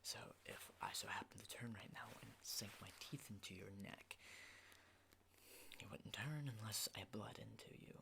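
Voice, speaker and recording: A man playing an unhinged, menacing survivor of a zombie apocalypse in a halting, intimate ASMR roleplay, in breathy whispers. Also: sharp tone, full character voice. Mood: hushed, explaining. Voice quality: breathy whisper with low creaky undertones.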